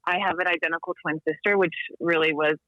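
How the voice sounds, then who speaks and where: A woman's voice: on the low side, fairly even intonation, fast, no room sound. A woman in her thirties from Texas, calling in from home as a podcast guest, chatting casually with frequent ums and laughs. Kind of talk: casual interview chat. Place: home in Littleton, Colorado. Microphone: remote call-in line. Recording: dull dry call-in audio.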